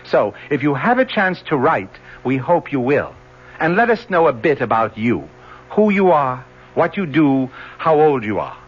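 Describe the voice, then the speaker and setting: Husky male radio announcer with animated pitch. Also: unhurried. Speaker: radio producer making an announcement. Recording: muffled old radio broadcast.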